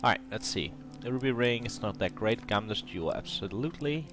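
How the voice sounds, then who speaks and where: A man's voice: mid-range voice, expressive, fairly quick, over music. Nasal man with a cold. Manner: thinking aloud, hesitant with ums. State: has a cold. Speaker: let's play host. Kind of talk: casual gameplay commentary.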